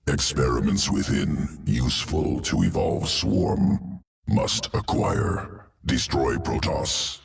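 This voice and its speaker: A cold alien creature-scientist with an extremely deep, grinding gravelly voice, talking in slow, clipped fragments. The voice sits back from the mic with game music behind. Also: male voice, very animated, distant mic, exaggerated voice. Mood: clinical. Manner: clipped fragmented speech with no small words, detached.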